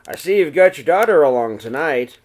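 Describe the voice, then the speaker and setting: A man's voice: on the high side, animated, brisk, darkish tone. A man voicing a friendly, joshing cafe worker from a picture book with a chummy, familiar manner.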